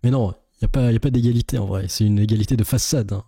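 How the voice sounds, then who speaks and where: A man's voice: lowish voice, steady tone, rapid-fire, vocal fry, dark tone, slightly rough, no echo, clean studio sound. A man giving casual reaction commentary as a YouTuber, in a sarcastic, sceptical and rambling way.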